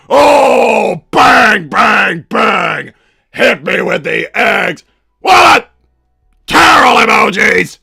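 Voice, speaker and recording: A man voicing a pompous, hot-tempered cartoon villain doctor and strict, doting papa, raspy and blustering, chatting in character on a livestream. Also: sits high, big pitch swings, very slow, pushed and bright, dry recording, shouting, clean studio sound, exaggerated voice. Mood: excited, blustering. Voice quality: gravelly, raspy. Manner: blustering, bombastic. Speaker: livestream host.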